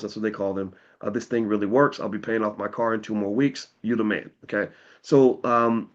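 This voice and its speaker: A man with a slightly hoarse voice talks straight to his viewers in a casual, persuasive pitch. He speaks in plain terms, stumbling and repeating words as he goes. The audio sounds a little dull and lo-fi. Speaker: host pitching an investing course.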